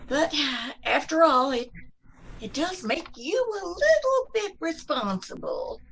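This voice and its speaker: A woman playing a fretful, gently reproachful landlady in an old-time radio sitcom, fussy and plaintive. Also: high-pitched, very animated, slow pace, gravelly, full character voice. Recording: noisy video-call recording with clicks.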